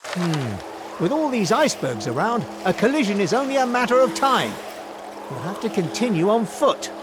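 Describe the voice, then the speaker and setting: A man voicing a clever, level-headed little Gaulish warrior in a cartoon game, composed, brisk and wry. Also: high-pitched, very animated, noisy background. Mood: wary. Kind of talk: animated game cutscene acting. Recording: music behind the voice.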